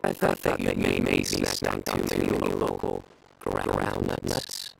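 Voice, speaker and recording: Very deep deadpan young adventurer. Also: male voice, creaky, heavily acted, poor audio. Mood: deadpan joking. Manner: deadpan, deliberate, pedantic. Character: solemn young male adventurer who tells bad jokes earnestly. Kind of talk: anime-style video game voice acting. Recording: faint music behind.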